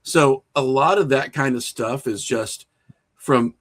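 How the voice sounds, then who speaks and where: A middle-aged man speaks as a guest in a relaxed interview. His voice sits at an average male pitch. He talks candidly, pokes fun at himself and swears freely. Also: takes it slowly. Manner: candid, self-mocking, profane. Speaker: podcast guest, horror filmmaker and writer. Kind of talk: casual interview chat.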